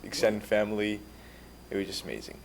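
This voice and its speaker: Polite low-voiced teen from Georgia. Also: male voice, expressive, a little fast, creaky, slight background noise, rough recording. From Georgia (country). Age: teens. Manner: polite, earnest, brief answers. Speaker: exchange student. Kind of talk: answering questions at a public meeting.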